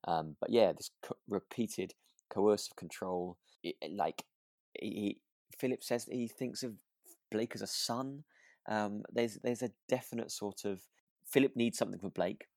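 A man's voice: on the low side, moderate pace, a little mellow, no echo, studio quality. A British man co-hosting a podcast in casual chat, often rephrasing his thoughts mid-sentence. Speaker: podcast co-host. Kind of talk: casual chat, reviewing a radio drama.

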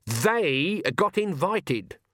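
A man doing a sharp old witch, higher. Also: big pitch swings, drawn-out words, no echo, clean studio sound, full character voice. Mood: curt. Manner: curt, snapping, sarcastic. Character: cranky older woman witch, sharp-tongued, proud.

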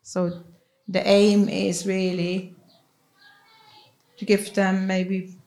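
A middle-aged woman from Switzerland, a native Swiss German speaker, chatting in an interview as a head teacher, with soft laughs and restarts. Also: medium pitch, little pitch movement, very slow. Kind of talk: casual interview chat.

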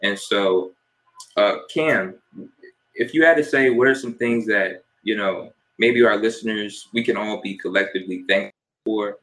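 A young man from New Jersey co-hosts a casual podcast in a fairly low voice. He keeps it relaxed and loose, tossing out shout-outs and questions to his co-host. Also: normal speed, poor audio.

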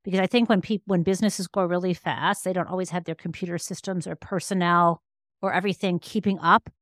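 A woman with a fairly low voice, recorded dry and close to the room-free side. She explains things as an expert guest in a podcast interview. Her delivery is conversational and emphatic, and she rephrases as she goes. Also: fairly even intonation, a little fast, a bit bright, dry recording. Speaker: interview guest, law professor and author.

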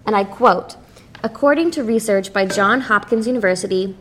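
A woman co-host presenting education bills from prepared notes in a measured way. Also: mid-range voice, a little hiss or hum.

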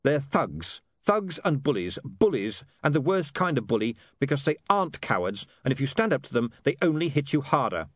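A man's voice: mid-range voice, big pitch swings, moderate pace, few pauses, dry recording, studio quality, voice pushed up. A man voicing an earnest, bitter young man of privileged birth in an audiobook, with a clipped, emphatic way of talking. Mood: agitated, bitter.

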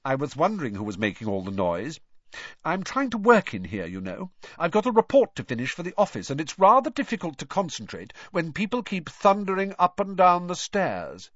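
A man's voice: on the high side, huge pitch swings, fairly quick, a bit bright, dry recording, pitched above the performer's own voice, full character voice. A man doing a pompous, fussy young clerk. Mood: irritated. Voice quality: prim, pompous. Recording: dry, slightly dull studio sound.

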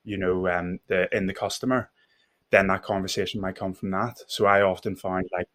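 A man with a Belfast accent speaks as an interview guest in a low voice. His intonation stays very even. He is reflective, feeling his way through each thought with some hesitation. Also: normal speed. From Northern Ireland. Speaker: podcast guest, mental health first aid instructor.

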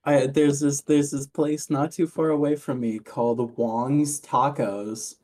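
A man's voice: mid-range voice, steady tone, unhurried, a little mellow. A man co-hosting a podcast in casual, joking group chat, with a dry, mock-serious way of talking. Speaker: podcast co-host.

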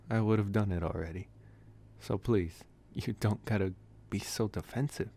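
Low-voiced, intimate young male vampire, played by a man. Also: vocal fry. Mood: teasing, reassuring. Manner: soft, intimate, hesitant phrasing with sighs and chuckles. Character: possessive, teasing young male college vampire, gently reassuring. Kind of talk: ASMR boyfriend roleplay.

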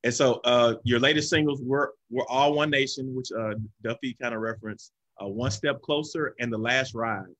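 A man's voice: mid-range voice, normal speed, few pauses, fairly bright tone. A man hosting a live interview, upbeat and affirming as he poses questions. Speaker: interview host.

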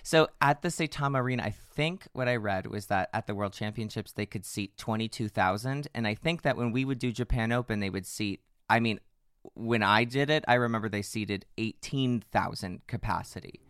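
A man with a hoarse voice co-hosting a podcast, chatting playfully and poking fun at himself. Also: lowish voice, a bit bright, dry recording, clean studio sound. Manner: playful, self-mocking, quick asides. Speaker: podcast co-host. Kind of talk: casual chat.

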